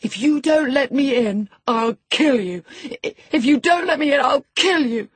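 A woman's voice: high voice, animated, a little slow, dry recording, pitched above the performer's own voice. A woman voicing a sickly young man who shrieks in frantic, powerless fury.

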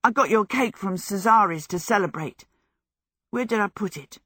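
A woman voicing a jubilant, excitable teenage apprentice boy in love, gushing, in a storybook. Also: medium pitch, normal speed, slightly rough, dry recording, clean studio sound. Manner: exuberant, gushing. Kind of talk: storybook character voice.

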